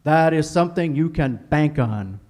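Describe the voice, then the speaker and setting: Man playing formal old-time radio announcer, highish voice. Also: expressive, moderate pace, a little mellow, natural voice. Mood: courteous, persuasive. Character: Paul Hartman, courteous male radio announcer and sponsor pitchman. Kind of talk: recreated 1940s radio announcing, scripted narration.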